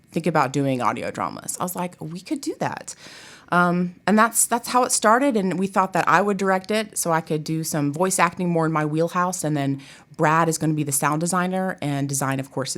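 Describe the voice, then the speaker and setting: A woman's voice: on the low side, fairly bright tone. A middle-aged woman from Tennessee chatting casually and expressively as an interview guest, with informal asides. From Tennessee, United States. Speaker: guest, theater professor. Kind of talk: casual chat.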